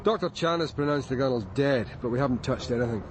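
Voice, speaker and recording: An actor playing a steady, businesslike police detective inspector in a radio drama, clipped and dry, with a Scottish accent. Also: male voice, medium pitch, fast talker, lightly acted. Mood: formal. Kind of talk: naturalistic radio drama acting. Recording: faint urban street noise.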